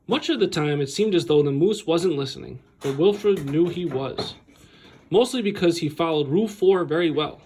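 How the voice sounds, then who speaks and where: Man, high voice, children's storytime reader, animated, deliberate phrasing, expressive. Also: husky. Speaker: school staff member reading a picture book.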